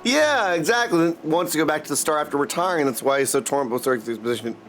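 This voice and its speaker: A man's voice: a little high, expressive, quick pace, fairly bright tone. A man chatting casually and goofily with viewers in slangy language while livestreaming a game. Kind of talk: casual livestream chat over gameplay. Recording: music behind the voice. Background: game music.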